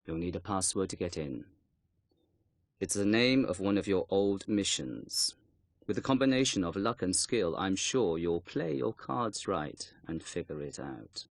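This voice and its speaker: A Chinese intelligence colonel for a game cutscene. The voice is low and dry, with little room sound. He speaks formally and deliberately, measured and guarded in every phrase. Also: animated, gravelly, no room sound, full character voice. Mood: cryptic, guarded.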